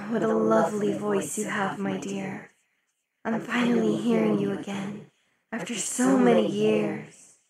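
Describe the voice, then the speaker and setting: Woman playing a possessive demon queen: unhurried, velvety, slipping into whispers, over a loud party crowd. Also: medium pitch, flat delivery, close to an everyday voice, rough recording. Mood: silky, possessive. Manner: slow, velvety, dips into whispers. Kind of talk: ASMR roleplay. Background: crowd chatter of a party.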